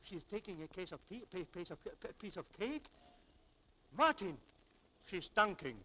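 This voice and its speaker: High-voiced hesitant kindly friend. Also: male voice, very animated, normal speed, pushed and bright, heavily acted. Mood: hesitant, excited. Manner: hesitant, stammering. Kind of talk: 1940s radio acting. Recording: noisy old 1940s radio recording.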